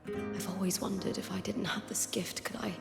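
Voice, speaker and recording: An actress playing an earnest, self-doubting young female gladiator in a video game, solemn, reflective and measured. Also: medium pitch, level tone, gravelly. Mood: pained, confessional. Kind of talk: dramatic video game voice acting. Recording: echoey long reverb under music.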